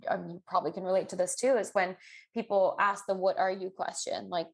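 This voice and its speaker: A woman co-hosting a podcast in casual chat, animated and acting out quoted people with a raised voice. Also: medium pitch, a little fast, gravelly. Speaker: podcast co-host.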